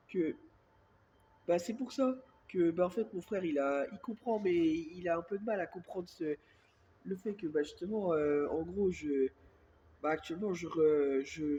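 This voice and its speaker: A man with a head cold talks softly and at length into his phone held close. He rambles through a casual monologue and keeps circling back with filler words. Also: high voice, moderate pace, highs cut off, slight background noise. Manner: speaks softly on purpose. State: has a cold, congested and coughing. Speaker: self-filmed vlogger.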